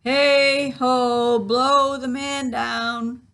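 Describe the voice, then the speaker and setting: A woman voicing a rowdy crew of storybook pirates, chanting and shouting drawn-out replies. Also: sits high, little pitch movement, pitched above the performer's own voice, exaggerated voice. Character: rowdy crew of male pirates echoing their captain.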